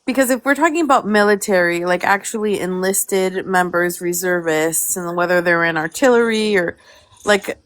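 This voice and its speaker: A woman taking part in a live online debate, with a fairly low voice for a woman. She rambles and hesitates, and she keeps filling gaps with "like". Her tone stays casual and unguarded. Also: normal speed, a bit bright, no room sound. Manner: rambling and hesitant, many "like" fillers. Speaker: debate participant.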